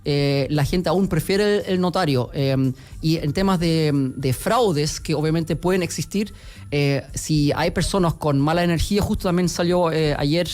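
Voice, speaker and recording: A man's voice: a little high, moderate pace, a little mellow. A man with a German accent, giving hesitant, filler-heavy answers as a guest on a radio interview. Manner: hesitant, many fillers and restarts. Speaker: guest, company executive.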